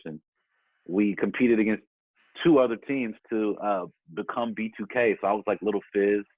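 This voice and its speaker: A man chatting casually as an interview guest, telling stories in a rambling, slangy, conversational way. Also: on the low side. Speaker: podcast guest, music performer. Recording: thin phone-like call, roomy echo.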